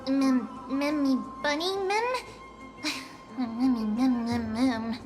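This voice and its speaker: A woman's voice: high voice, slow pace, music in the background. A woman voicing a tiny, cute bunny-like mascot creature in an anime game, innocent and chirping nonsense syllables instead of words. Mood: chirpy.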